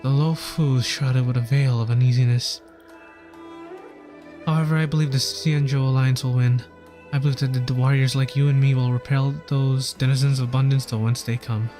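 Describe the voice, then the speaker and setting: A man's voice: medium pitch, flat delivery, moderate pace, mellow. A man streaming a game, reading its story text aloud earnestly and now and then stumbling over words. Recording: game music behind the voice. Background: game music.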